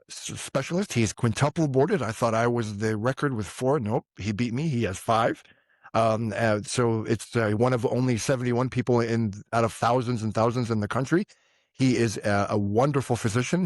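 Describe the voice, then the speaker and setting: A middle-aged man hosts a medical podcast in a mid-range voice. He speaks haltingly, stopping to restart his sentences. The sound is dry and close to the microphone. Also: mid-range voice, dry recording. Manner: halting, many fillers and restarts, warm praise. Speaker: podcast host, physician. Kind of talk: interview conversation.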